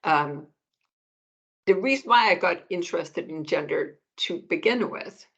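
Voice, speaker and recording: A middle-aged woman from Denmark, a professor giving measured answers in an interview, often restarting phrases as she thinks. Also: lowish voice, animated, vocal fry, fairly bright tone, poor audio. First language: Danish. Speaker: economics professor, guest.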